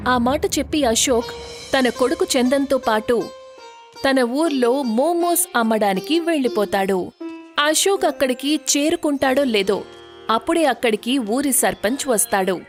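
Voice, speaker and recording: A woman's voice: high voice, lively intonation, a little slow, faint music behind. A woman storyteller reading scripted narration for a moral tale.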